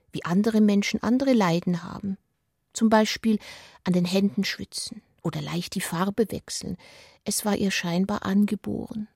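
A woman reading scripted literary narration for a radio play, soft and intimate in manner. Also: medium pitch, vocal fry, husky, no echo, studio quality.

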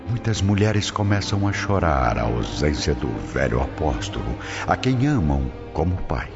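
A man's voice: rumbling low, big pitch swings, measured pace. A man with a Brazilian accent giving dramatic scripted narration for a radio drama. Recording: dull lo-fi audio with prominent music.

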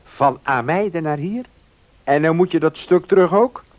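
An actor playing a genial, curious well-to-do gentleman in a Dutch radio play, speaking in a measured, polite way. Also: fairly high pitch, lightly acted. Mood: curious, probing.

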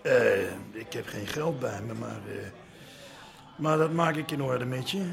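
A man's voice: medium pitch, a little slow, vocal fry. An actor in a radio drama playing an easygoing, teasing policeman with an informal, wry way of talking. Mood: cajoling. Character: wry, easygoing policeman who drinks on duty.

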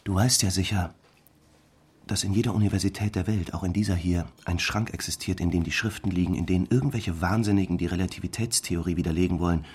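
A doubtful academic colleague in a radio drama. His voice is very low and husky. He sounds measured and blunt, as one giving a warning. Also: fairly even intonation, darkish tone. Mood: sceptical, warning. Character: sceptical, cautioning male academic colleague.

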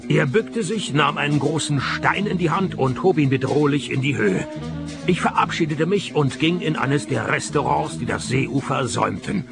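A man's voice: fairly high pitch, normal speed, creaky, over music. An actor playing a sarcastic male private detective in a radio play. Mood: dry narrating. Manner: dry, deadpan, sarcastic. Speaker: lead actor and narrator.

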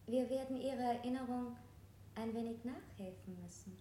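A woman's voice: on the high side, normal speed, warm tone, roomy sound, slight background noise, natural voice. An actress playing a harsh, threatening female interrogator. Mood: menacing. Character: shrill, menacing female interrogator.

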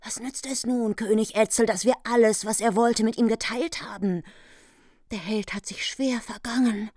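An actress playing a cold, bitter, vengeful queen in a German radio drama, speaking in a measured, commanding way. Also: on the high side, fairly even intonation, darkish tone, dry recording, studio quality, lightly acted. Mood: scornful.

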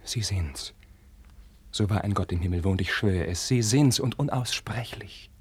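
Husky, troubled young prince. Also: male voice, on the low side, normal speed. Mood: ardent. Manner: declamatory, theatrical, intense. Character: troubled young crown prince, ardent, bitter and restless. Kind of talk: 1950s German radio play, classical verse acting. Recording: dry 1959 radio studio recording.

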